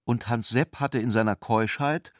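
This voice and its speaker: Dry, mid-pitched male literary narrator. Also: normal speed, no room sound. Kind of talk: literary radio drama narration.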